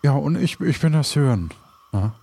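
A man's voice: a little high, expressive, normal speed, creaky, mellow. Man playing hesitant, earnest pirate adventurer. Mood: friendly. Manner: hesitant, polite, tag questions. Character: Sören, earnest, awkward young male pirate adventurer. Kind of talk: improvised audio drama. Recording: faint background noise.

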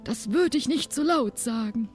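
A woman playing a cautious, honourable pirate companion in an improvised audio drama, calm and matter-of-fact. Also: a little high, moderate pace, soft background music, lightly acted.

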